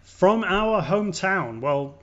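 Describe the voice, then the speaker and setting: A man's voice: high voice, lively intonation, shouting, over loud music. Sweary English man, dull lo-fi audio. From born in London, grew up in Leeds, Yorkshire. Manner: sweary, enthusiastic, halting. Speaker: podcast host. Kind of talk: casual music review chat.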